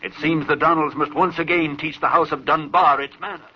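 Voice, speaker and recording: A man's voice: on the high side, steady tone, brisk, breathy edge. An actor playing a proud, hot-tempered Scottish clan lord and father in a declamatory old radio horror drama. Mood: furious, indignant. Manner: blustering, declamatory. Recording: muffled, hissy old radio broadcast.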